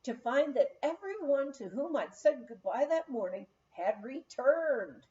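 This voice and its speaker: A woman reading a humorous memoir aloud in an expressive, anecdotal storytelling manner. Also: sits high, measured pace, highs cut off. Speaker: volunteer audiobook reader. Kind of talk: scripted narration of a humorous memoir.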